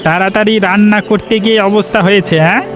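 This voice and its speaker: A man's voice: high voice, fairly even intonation, fast, close to an everyday voice. A man playing a blunt, complaining, impatient middle-aged village diner in a cartoon. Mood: angry. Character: grumpy, impatient, hungry village uncle, middle-aged customer. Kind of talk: cartoon voice acting. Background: background music.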